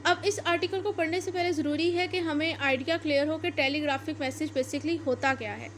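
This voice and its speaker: A woman's voice: sits high, fairly even intonation, normal speed, slight background noise. A woman with a Pakistani accent giving an explanatory law lecture, repeating and rephrasing points in a didactic way. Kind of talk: explanatory lecture mixing Urdu with English legal terms.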